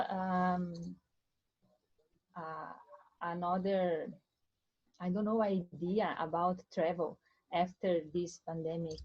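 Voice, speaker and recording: Slow, hesitant woman student. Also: fairly low pitch, dull audio. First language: Portuguese. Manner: hesitant, searching for words. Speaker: English student. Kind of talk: class conversation.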